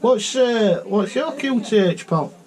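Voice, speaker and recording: A man with a South Yorkshire accent chatting casually and haltingly as a radio operator, full of technical jargon. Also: high-pitched, animated, crowd noise.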